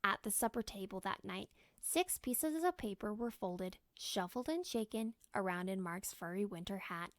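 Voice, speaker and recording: A woman reading a children's story aloud in an expressive, animated storytelling manner. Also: mid-range voice, normal speed, hardly any pauses, dry recording, studio quality. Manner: animated, acts out character lines. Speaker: storybook reader.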